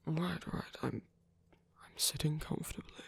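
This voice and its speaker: Male actor as weary rebel villain for ASMR audio drama: husky, creaky, halting murmur, tender and gently teasing, very close and dry. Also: a little high, dry recording, exaggerated voice. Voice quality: breathy, gravelly, often near a whisper. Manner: hesitant, halting, murmured with pauses.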